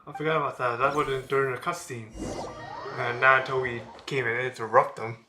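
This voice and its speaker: A man's voice: medium pitch, level tone, brisk, bright, forceful tone, a little hiss or hum. A man streaming a video game, commenting casually in a rambling way with lots of fillers. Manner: rambling, with many fillers and restarts. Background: game music.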